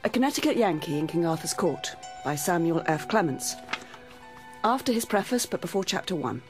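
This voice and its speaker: A woman with a British accent playing a gentle, attentive hospital nurse in a horror audio drama. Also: on the low side, big pitch swings, a little fast, over music.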